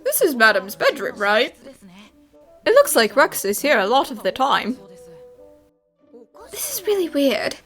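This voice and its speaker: A woman's voice: sits high, very animated, unhurried, long pauses, a bit bright, soft background music, exaggerated voice. A woman voicing an eager, food-loving young female detective in a fan dub, with a perky, inquisitive manner. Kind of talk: fan dub game voice acting.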